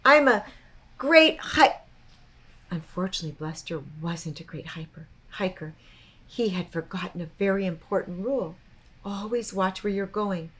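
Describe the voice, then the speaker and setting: A woman storyteller reading a picture book aloud to children in an expressive, child-directed way. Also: lowish voice, normal speed, a little hiss or hum, poor audio.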